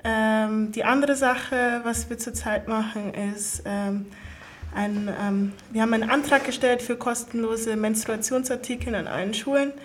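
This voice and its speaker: A woman's voice: on the high side, fairly even intonation, measured pace. A twenty-year-old woman answering interview questions spontaneously as a youth council member. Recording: faint background noise.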